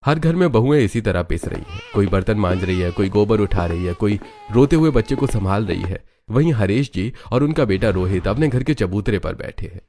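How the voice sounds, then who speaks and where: Low-pitched man narrating a story. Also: expressive, fairly quick, dark tone.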